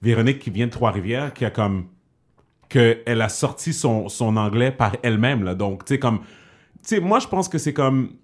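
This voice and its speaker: A man's voice: mid-range voice, moderate pace. A man with a Quebec French accent hosting a casual podcast, chatting loosely with fillers and English phrases mixed in. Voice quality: relaxed, many fillers, slips into English phrases. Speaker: podcast host.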